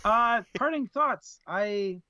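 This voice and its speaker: A man co-hosting a book club podcast, giving his views in a thoughtful, halting way and repeating words as he searches. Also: sits high, animated, pushed and bright, no room sound. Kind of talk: casual book discussion. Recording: dull, lo-fi dry mic.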